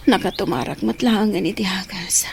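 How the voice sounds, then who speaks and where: A woman with a Filipino accent playing a sick, weak mother in a radio drama, in a whispery, breathy voice. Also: mid-range voice, normal speed, noisy background, natural voice. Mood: weak, pleading.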